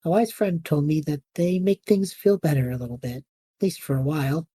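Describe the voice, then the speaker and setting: A woman's voice: low-pitched, animated, fairly quick, mellow, husky. A woman playing an easygoing, kind-hearted and modest young male adventurer in an audio drama. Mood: warm sign-off.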